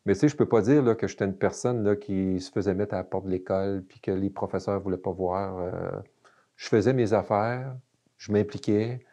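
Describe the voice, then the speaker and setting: Casting: Québécois man, late 50s, low-pitched, mellow, hesitant with fillers. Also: fairly even intonation, a little fast, muffled recording. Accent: Québécois French. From Quebec. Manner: hesitant, many fillers and restarts. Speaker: guest. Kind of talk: casual interview talk.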